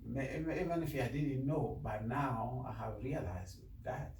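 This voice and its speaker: A man with a Cameroonian accent, a bishop answering interview questions in halting, repetitive short phrases. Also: mid-range voice, vocal fry, a little mellow. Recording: echoey room, distant mic, faint noise.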